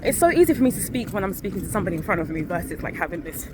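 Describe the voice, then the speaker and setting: Woman vlogger, candid rambling talk, phone mic outdoors, clear street noise. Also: lowish voice, big pitch swings, racing. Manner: rambling, candid, self-correcting with small laughs. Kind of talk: casual vlog chat to a phone while walking. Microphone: phone held up to her face. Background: traffic and street ambience.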